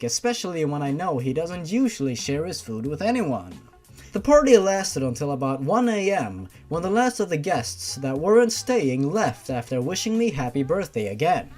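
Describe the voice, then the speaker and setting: Expressive storyteller with lively swings in pitch. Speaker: story reader. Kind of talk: first-person narration of fan fiction story. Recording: music behind the voice. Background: music.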